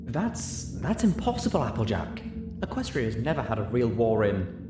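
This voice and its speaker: A man's voice: medium pitch, sing-song, normal speed, reverberant, background noise, natural voice. A man voicing an earnest, studious female unicorn pony who explains things precisely, in a story reading. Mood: troubled.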